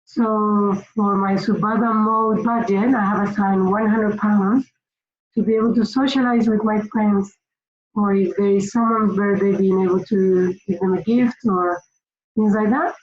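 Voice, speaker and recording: A woman from Spain with a Spanish accent gives informal instructional tips to camera in a slightly hesitant, halting way. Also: medium pitch, steady tone. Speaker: finance consultant giving budgeting tips. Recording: roomy echo, dull lo-fi sound, faint noise.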